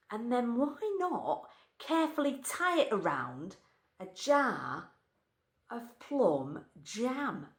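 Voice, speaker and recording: In a roomy, echoing space, a woman reads scripted fiction aloud. Her delivery is slow and deliberate, with lively rises and falls in pitch. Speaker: reader of short stories. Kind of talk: expressive scripted story reading. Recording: echoey, roomy indoor sound.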